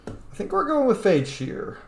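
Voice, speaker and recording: A man's voice: sits high, sing-song, a little slow, darkish tone. A man giving casual, sweary gameplay commentary, often muttering to himself as he plays. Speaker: gamer hosting a playthrough. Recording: echoey room, faint noise.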